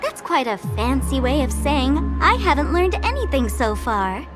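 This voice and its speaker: A mischievous trickster girl in a video game, mock-sweet and taunting. Her high voice swoops theatrically and lingers slowly on words, with music playing behind. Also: big pitch swings. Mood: teasing, mischievous. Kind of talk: dramatic video game voice acting.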